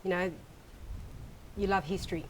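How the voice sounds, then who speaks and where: A middle-aged woman gently interviewing her father, with lots of ums and you-knows. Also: mid-range voice, steady tone, normal speed, slight background noise, low-quality recording. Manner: gentle, prompting, with many fillers. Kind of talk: casual interview.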